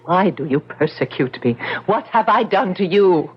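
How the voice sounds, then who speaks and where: Frightened, defiant female dancer for vintage radio drama; husky, dramatic, on a muffled recording. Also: lowish voice, expressive, normal speed, soft background music. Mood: frightened defiance. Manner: theatrical, indignant. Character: frightened, defiant famous woman dancer under threat. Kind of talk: 1970s radio drama acting. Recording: dull, lo-fi old radio recording.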